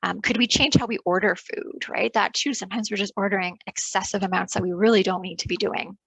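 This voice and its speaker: A woman's voice: mid-range voice, fairly even intonation, brisk, creaky, fairly bright tone, breathy edge, no echo, rough recording. A woman giving a casual webinar talk on public health over a video call, loose and full of fillers, often checking in with "right?". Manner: loose, filler-heavy, ends points with "right?".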